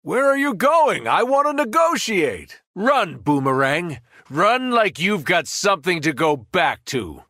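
A fallen alien superhero in a video game, menacing and arrogant. His fairly high, forceful voice swings widely as he delivers cold, mocking threats in a dry, close recording. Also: big pitch swings, measured pace, dry recording, full character voice. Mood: gleeful taunting. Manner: taunting, cold mockery, grandiose threats. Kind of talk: video game villain voice acting.

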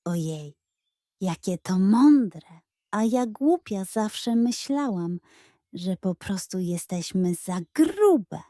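A woman voicing a naive, gullible and excitable female hen in a children's audio tale, wide-eyed and awestruck. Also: mid-range voice, takes it slowly, no room sound, studio quality. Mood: amazed.

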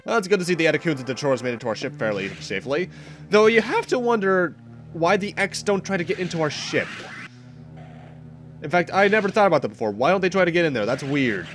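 A young man with a stuffy nose talks through a game as he plays, chatty and casual. His voice sits a little high and swings widely in pitch. Loud game music plays behind him. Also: sing-song, fast talker. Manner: chatty, self-correcting, occasional laughs. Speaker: let's play host. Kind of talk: casual gameplay commentary.

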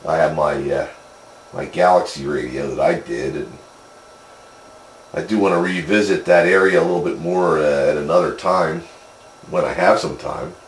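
A man's voice: extremely low pitch, fairly even intonation, normal speed. A man chatting casually over two-way radio as a hobbyist, explaining technical things in a rambling way. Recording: roomy, noisy CB radio speaker pickup.

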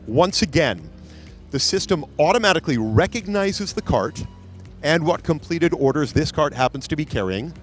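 Upbeat trade-show presenter, higher voice. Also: male voice, lively intonation, normal speed, faint music behind. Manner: upbeat, salesy, pointing things out. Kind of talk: live product demo narration. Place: large convention hall trade booth. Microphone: handheld mic.

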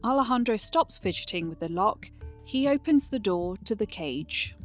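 A woman's voice: mid-range voice, animated, normal speed, soft background music. A woman reading scripted narration for a fantasy audio drama.

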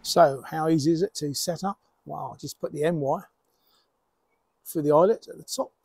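A middle-aged man explaining and demonstrating as a hobbyist presenter, in measured short phrases with pauses. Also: fairly high pitch, gravelly, a little mellow, husky, no room sound. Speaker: amateur radio hobbyist presenter.

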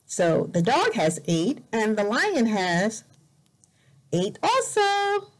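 A woman teacher reading a picture book aloud as a lesson for young learners, deliberate and expressive, repeating words carefully. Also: a little high. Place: indoor room, at a desk.

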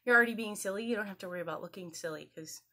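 A woman's voice: medium pitch, rapid-fire, pushed and bright. A woman in her thirties hosting an interactive children's storytime, encouraging listeners and prompting them with questions. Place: carpeted home living room, indoors. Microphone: camera a couple of metres away.

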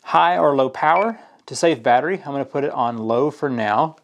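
A man's voice: medium pitch, moderate pace, heavy background noise. A man explaining plainly, step by step, in a casual hands-on product walkthrough. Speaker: product reviewer. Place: indoors at a work table.

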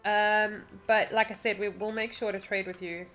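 Woman, English South African accent, bright tone, playful game-stream chatter, faint music behind. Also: medium pitch, flat delivery, moderate pace. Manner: playful, hums and chants along. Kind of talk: casual gaming chat.

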